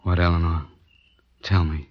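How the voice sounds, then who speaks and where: A man's voice: low voice, flat delivery, a little slow. An actor playing a bitter, wounded young man, curt and tense, in 1940s radio drama style. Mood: grim. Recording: muffled old 1940s radio recording.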